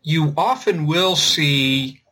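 Guy podcast host explaining stuff, bright slightly high voice, slow and halting, repeats words as he thinks. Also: breathy edge. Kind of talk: informal explanatory discussion of linguistics.

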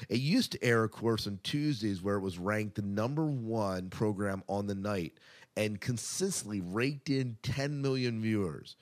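A man's voice: on the low side, a little slow. A man co-hosting a podcast, giving casual entertainment news commentary in a conversational way with emphatic asides. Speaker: podcast co-host.

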